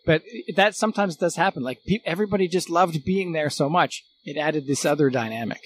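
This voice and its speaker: A man's voice: on the high side, a little fast, a little hiss or hum. A middle-aged man in a casual unscripted conversation as a guest, thinking aloud as he goes. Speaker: former radio recording engineer, podcast guest.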